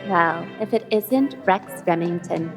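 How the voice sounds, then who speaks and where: A woman's voice: lowish voice, takes it slowly, soft background music. A woman playing a sultry, haughty Hollywood actress, teasing and snooty, in a comedic audio drama. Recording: long hall reverb.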